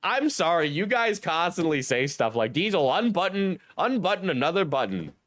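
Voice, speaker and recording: Hoarse, sick young man streamer, dry mic. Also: fairly high pitch, very animated, normal speed, a bit bright, no room sound. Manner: joking, self-mocking, swears. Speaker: streamer reading chat. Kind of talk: casual livestream chat.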